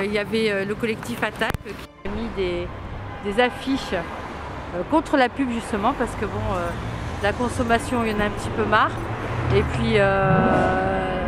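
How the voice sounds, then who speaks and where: A middle-aged woman answering a street interview outdoors, talking hesitantly with many filler pauses. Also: fairly high pitch. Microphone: handheld camera held close. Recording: street traffic noise.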